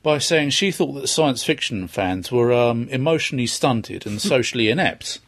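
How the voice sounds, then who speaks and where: British man with a slightly husky voice. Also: mid-range voice, animated, moderate pace, a bit bright. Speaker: podcast panellist. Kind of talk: casual opinionated fan chat.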